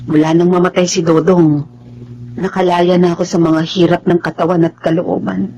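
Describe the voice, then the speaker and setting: A woman's voice: on the low side, flat delivery, normal speed, dark tone, muffled recording. A woman playing a humble, weary widowed mother in a radio drama. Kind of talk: Tagalog radio drama acting.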